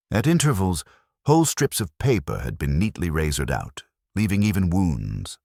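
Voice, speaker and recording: A man reading a scripted literary mystery story as narrator, in a measured, dryly wry manner. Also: very deep voice, no room sound, studio quality.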